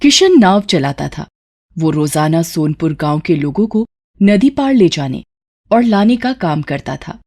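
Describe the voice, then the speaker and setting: A woman's voice: low-pitched, very animated, measured pace, darkish tone. Woman narrating a scripted folk tale. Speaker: story narrator.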